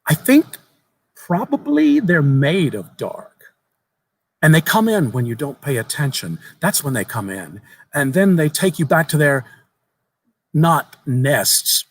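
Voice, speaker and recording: A man voicing a precocious, solemn little boy who explains things slowly and deliberately in a dramatic horror story reading. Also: a little high, very animated, slight background noise, pitched above the performer's own voice, full character voice. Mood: solemn, thoughtful.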